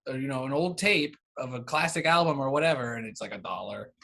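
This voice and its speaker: Bright-voiced man in casual conversation. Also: mid-range voice, fast talker, vocal fry. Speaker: interview guest, cassette exchange organiser.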